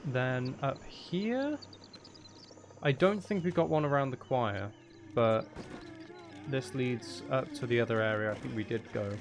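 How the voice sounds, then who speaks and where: A man's voice: medium pitch, unhurried, pauses often, a little hiss or hum. A man chatting casually on a live gaming stream, rambling and half talking to himself as he plays. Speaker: game streamer.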